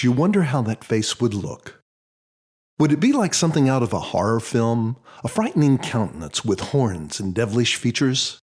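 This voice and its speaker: A man reading his own novel as a scripted audiobook, dramatic and solemn in a deliberate storytelling manner. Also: mid-range voice, darkish tone, slightly rough.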